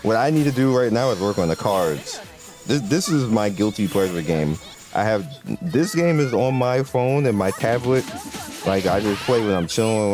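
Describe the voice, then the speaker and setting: A deep-voiced man talks loosely through a game he's playing, often stopping to restart his sentences or fill gaps with "um." His tone is relaxed and conversational, and faint music plays underneath. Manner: rambling, loose, with many fillers and restarts. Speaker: gaming channel host. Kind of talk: casual gameplay commentary.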